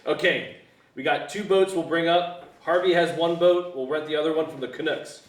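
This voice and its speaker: Echoey room, slightly high male voice, man acting exasperated trip planner, grumbling, table read. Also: steady tone, moderate pace, recorded from a distance, lightly acted. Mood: matter-of-fact. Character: exasperated organiser of a fishing trip. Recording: echoey room, off-mic, faint hiss.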